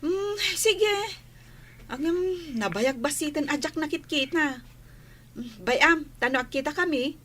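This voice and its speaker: A woman playing a concerned young village friend in a radio drama, conversational and drawn-out, with a Filipino accent. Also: high voice, animated, sharp tone, full character voice. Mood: casual concern.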